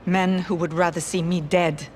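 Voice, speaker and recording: An actress playing a shrewd, hard-nosed American brothel madam in a video game cutscene, blunt and matter-of-fact. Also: female voice, lowish voice, fairly even intonation, moderate pace, a little mellow, slight background noise, natural voice. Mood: insistent.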